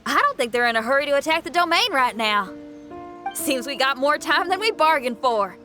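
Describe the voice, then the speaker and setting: A woman's voice: sits high, sing-song, fairly quick, pushed and bright, soft background music, full character voice. A woman voicing a friendly young female adventurer in an anime game, conversational and matter-of-fact. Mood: relieved, informative.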